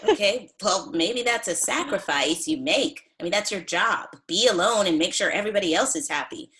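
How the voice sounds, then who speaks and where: A woman's voice: mid-range voice, expressive, normal speed, sharp tone. A woman in a sitcom table read playing a blunt, awkward single woman, hesitant and conversational.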